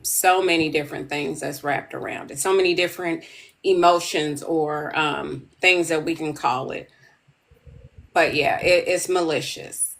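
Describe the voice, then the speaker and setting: A woman in her fifties hosting a casual podcast chat, relaxed and rambling, often repeating herself. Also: sits low, unhurried, creaky. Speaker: podcast host.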